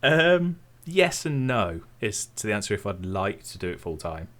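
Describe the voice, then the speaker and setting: Deep-voiced relaxed man with Midlands accent. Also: moderate pace. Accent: English Midlands. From English Midlands. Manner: relaxed, chatty, self-deprecating. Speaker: tech YouTuber guest. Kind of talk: casual interview chat.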